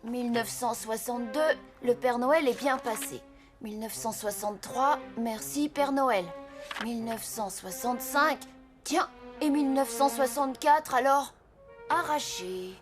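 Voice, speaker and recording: A woman's voice: fairly high pitch, a little slow, lightly acted. A woman voicing an earnest, curious young boy in a French cartoon, thinking aloud as he goes. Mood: puzzled. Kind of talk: French animated series dub. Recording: music under the voice.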